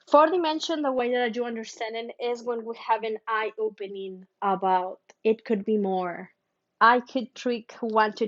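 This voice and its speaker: Woman, slightly muffled recording. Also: medium pitch. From Mexico. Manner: halting, thoughtful, with humming pauses. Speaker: spiritual teacher. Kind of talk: spiritual teaching talk.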